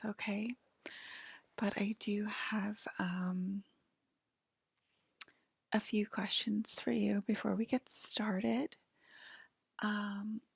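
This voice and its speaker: A woman softly whispering an ASMR roleplay to the listener as a polite, attentive alien abductor, pausing often. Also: medium pitch, gravelly, breathy edge, no room sound. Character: polite, attentive female alien abductor queen acting as medical examiner. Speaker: ASMR performer.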